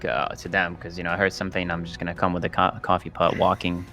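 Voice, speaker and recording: Deep, bright-edged man playing a tabletop game. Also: completely flat delivery, quick pace, soft background music. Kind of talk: casual chat.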